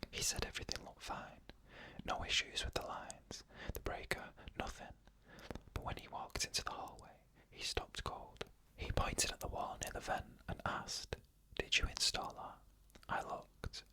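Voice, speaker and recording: A narrator telling creepy stories in a fully unvoiced ASMR whisper, slow and hushed, in short clipped phrases.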